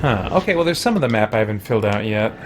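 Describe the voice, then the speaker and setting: A man's voice: lowish voice, lively intonation, racing. A man giving casual gameplay commentary, puzzling out his options aloud as he plays, with background noise. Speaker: let's play host.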